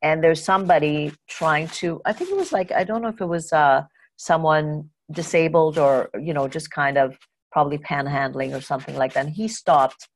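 A woman's voice: low voice, moderate pace, slight background noise. A woman from Trinidad and Tobago hosting a conversational interview podcast, thinking aloud in a wordy, reflective way.

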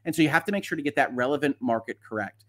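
A man's voice: medium pitch, racing, fairly bright tone. A man hosting a live stream, explaining and commenting on legal matters in a conversational, frank and opinionated way. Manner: conversational, explanatory, often checks with a tag "right?". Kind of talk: live commentary, answering viewer questions and explaining antitrust law.

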